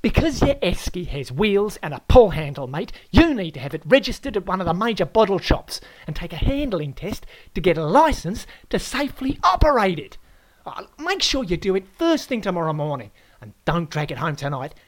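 A man's voice: sits high, sing-song, moderate pace, hardly any pauses, a bit bright, no room sound, voice pushed up, heavily acted. A man voicing a blustering host who swears and mocks his guest. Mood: indignant. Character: loud brash Australian male host, bullying.

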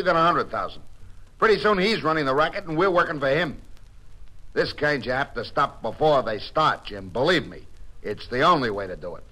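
Suspicious radio-play swindler, American, hot-tempered, curt, threatening, forceful tone, animated pitch, old hissy recording. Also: male voice, rough recording. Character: hot-headed, suspicious male oil swindler. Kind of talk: 1940s-50s radio drama acting.

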